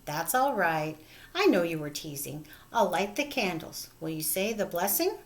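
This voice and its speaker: A woman voicing a kindly, fussing elderly woman with a bright, chirpy manner. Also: on the low side, big pitch swings, moderate pace, slight background noise. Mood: gently scolding.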